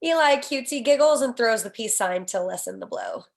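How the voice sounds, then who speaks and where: A woman's voice: a little high, lively intonation, brisk. A woman reading scripted screenplay stage directions as narrator at a table read.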